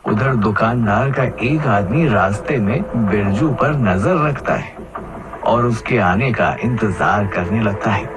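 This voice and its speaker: A man's voice: fairly low pitch, expressive, takes it slowly. A man narrating a scripted children's cartoon story as its storyteller.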